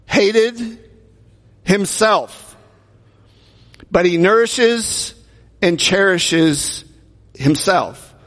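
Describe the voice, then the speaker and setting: A man's voice: sits high, drawn-out words, pauses often, fairly bright tone. A man preaching a sermon in a conversational way, asking the congregation rhetorical questions. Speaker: pastor. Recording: long hall reverb.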